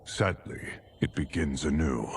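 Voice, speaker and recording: Deep, grave guardian god. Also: male voice, unhurried, slight background noise. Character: grave, weary godlike guardian of timelines.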